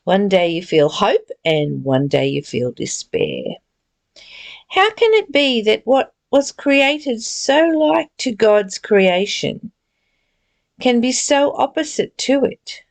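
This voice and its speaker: Slow talking woman doing a spiritual reading, bit of creak in her voice, earnest and gently urging. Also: a little high, animated. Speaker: reader and spiritual study teacher. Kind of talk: read-aloud spiritual text with reflective, informal commentary.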